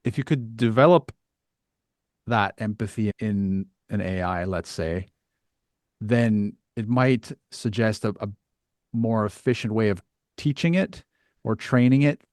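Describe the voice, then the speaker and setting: A man's voice: on the low side, measured pace, long pauses, a little mellow, no echo, clean studio sound. A man hosting a casual, reflective discussion, musing tentatively as he puts his ideas forward. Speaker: podcast host.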